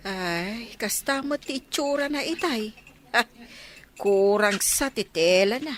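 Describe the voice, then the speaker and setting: A woman's voice: a little high, expressive, takes it slowly, slight background noise. A woman acting as a plain-spoken, gossipy village mother in a radio comedy drama.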